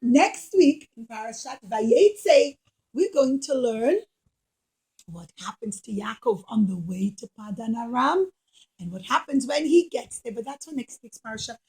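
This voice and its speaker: A woman's voice: fairly high pitch, animated, unhurried, mellow, rough recording. A woman storyteller teaching children through a puppet show, in simple, repetitive, emphatic phrases.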